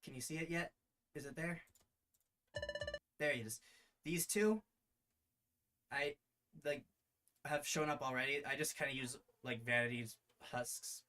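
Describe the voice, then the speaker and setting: A man hosting a livestream read-along in a casual, halting, self-deprecating way. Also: mid-range voice, sharp tone. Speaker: streamer hosting a live reading of their own series.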